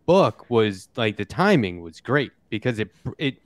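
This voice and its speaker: A man hosting a casual political podcast, talking in a halting, conversational way as he interviews his guests. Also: medium pitch, big pitch swings, no room sound.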